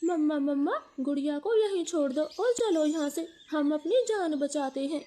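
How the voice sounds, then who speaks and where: A woman's voice: high voice, lively intonation, moderate pace, warm tone, pitched above the performer's own voice. A woman voicing a selfish, callous young brother bird in a cartoon story, scolding and accusing. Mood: panicked.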